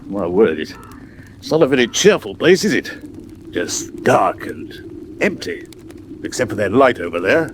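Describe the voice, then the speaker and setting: A man's voice: medium pitch, very animated, a little slow, pauses often. A man playing a plain-spoken, wary London policeman in a radio drama, with a homely, colloquial delivery. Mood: uneasy, curious. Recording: noisy radio drama recording.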